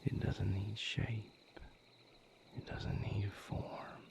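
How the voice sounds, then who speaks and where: A man playing a gentle, patient vampire mate in an intimate audio roleplay, coaxing softly in a breathy, gravelly whisper. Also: fairly low pitch, completely flat delivery, full character voice. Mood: soothing, focused. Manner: soothing, coaxing, unhurried guidance.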